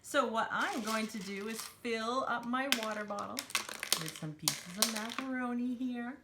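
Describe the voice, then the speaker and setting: A woman's voice: fairly high pitch, unhurried, very noisy. A woman hosting a children's storytime, talking simply and encouragingly to young children as she demonstrates a craft. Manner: refers to herself in third person.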